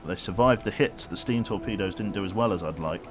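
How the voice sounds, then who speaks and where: A man streaming a submarine game, calmly giving orders aloud and commenting as he plays. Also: deep voice, a little fast. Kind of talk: gameplay commentary. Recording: game music loud behind.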